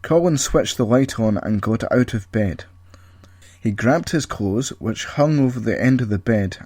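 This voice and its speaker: A man's voice: on the low side, a little mellow, breathy edge, dry recording. Man narrating, close and very dry. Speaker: author and narrator. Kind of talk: scripted story narration.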